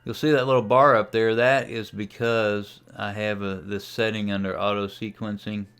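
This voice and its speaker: A man with a fairly low, smooth and clear voice narrates a casual software demonstration. He talks unscripted, thinking aloud as he works through each step like a hobbyist showing his setup. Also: level tone, normal speed. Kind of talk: casual screen-share tutorial narration.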